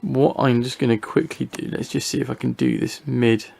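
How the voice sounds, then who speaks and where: A man's voice: mid-range voice, fairly even intonation, moderate pace, gravelly, a little mellow, slightly rough. A British man giving a casual, unscripted hobby review, talking through what he shows in a hesitant, rambling way, thinking aloud.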